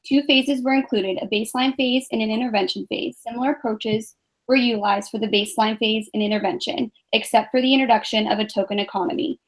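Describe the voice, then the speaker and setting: Young woman, slightly high voice, even measured reading of a class presentation. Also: poor audio. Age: 20s. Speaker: graduate student presenter.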